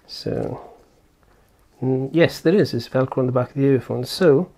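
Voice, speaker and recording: A man's voice: medium pitch, unhurried, creaky, dark tone, slight background noise. A man giving casual hands-on product commentary as a reviewer, explaining step by step and thinking aloud informally. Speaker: tech reviewer and presenter.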